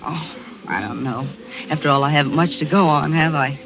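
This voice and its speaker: An actress playing a quick, sharp-tongued woman photographer in a 1940s radio drama, spirited and skeptical. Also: low-pitched, crowd noise, rough recording.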